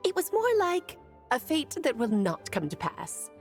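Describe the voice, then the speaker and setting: A woman's voice: a little high, huge pitch swings, measured pace, gravelly, music in the background, full character voice. Theatrical, lilting, playful witch. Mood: breezy, explanatory. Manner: theatrical, teasing, eloquent. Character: playful, self-assured, worldly witch woman, teasing and wise. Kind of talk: video game dialogue acting, storytelling exposition.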